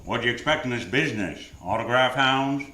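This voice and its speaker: An actor playing a sardonic hard-boiled private investigator, deadpan, in 1940s radio detective style. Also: male voice, medium pitch, a little fast, close to an everyday voice. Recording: roomy live theater stage, music underneath.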